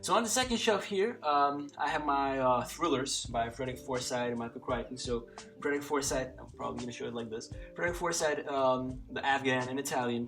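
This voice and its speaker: A man's voice: mid-range voice, fairly bright tone. A man whose first language is Slovak, talking casually to camera by his bookshelf, rambling with many fillers and restarts. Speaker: polyglot vlogger. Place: small room by a bookshelf lit by a lamp.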